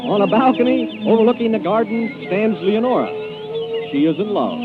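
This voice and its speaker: A man's voice: high-pitched, animated, moderate pace. A man hosting in 1930s radio style, theatrical as he moves between comic banter and announcing. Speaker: radio show host and announcer. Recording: tinny 1930s radio broadcast, loud music.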